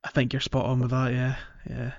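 Man, medium pitch, casual podcast chat, halting rambling storyteller, slightly muffled lo-fi sound. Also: monotone, gravelly. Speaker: podcast co-host.

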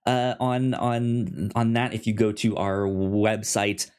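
A man co-hosting a podcast in casual chat about comics, speaking haltingly with stammered, repeated syllables and words. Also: on the low side, fairly even intonation, darkish tone, smooth. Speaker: podcast co-host.

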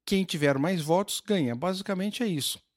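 A man's voice: high-pitched, normal speed, no room sound, clean studio sound. An older man, a guest patiently explaining something, with many fillers and restarts. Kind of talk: casual explanatory conversation.

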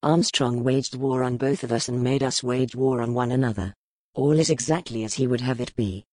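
A woman voicing a grim, powerful male duke, speaking low, measured and brooding in an audiobook. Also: darkish tone, no echo, clean studio sound. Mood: stern. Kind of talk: audiobook character voice.

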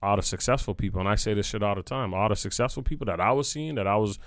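Male guest from Nova Scotia, low, clear voice, blunt streetwise talk. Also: very fast, dry recording. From Nova Scotia, Canada. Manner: direct, emphatic, streetwise phrasing. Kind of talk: casual interview conversation.

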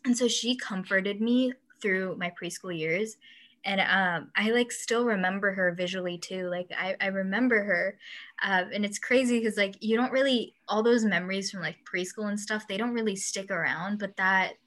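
Bright-voiced chatty young woman. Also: mid-range voice, moderate pace. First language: Urdu. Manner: chatty, laughs often, quotes people in acted voices. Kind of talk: casual chat.

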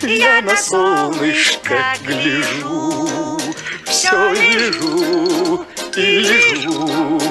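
A woman playing a carefree, contented cartoon animal singing a slow song in an old Soviet cartoon. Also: sits high, wildly swooping pitch, heavily acted. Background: music.

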